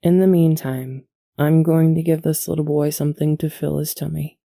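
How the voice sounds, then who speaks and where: A woman playing a calm, motherly alien matriarch in an audio roleplay, measured and soothing, tender but gently stern. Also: sits low, gravelly, no echo, clean studio sound. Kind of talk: soft audio roleplay acting.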